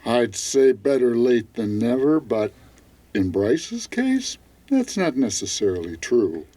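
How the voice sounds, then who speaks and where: A sardonic DJ who likes to needle people in a radio play. He takes his time over the words and swings his pitch widely for sarcasm. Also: big pitch swings, slow pace, mellow. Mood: sarcastic. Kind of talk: audio theater acting.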